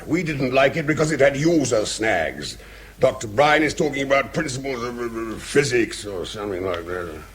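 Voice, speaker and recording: An actor playing a blunt, sceptical army colonel in a radio drama, plain-spoken and pressing. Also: male voice, mid-range voice, animated, normal speed, lightly acted.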